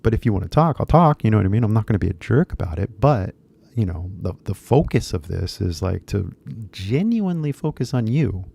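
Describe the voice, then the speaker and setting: Gravelly low-voiced man, rambling chat. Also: very animated, brisk, warm tone. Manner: rambling, heavy fillers, switches into Japanese. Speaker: English teacher YouTuber. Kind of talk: casual live stream chat.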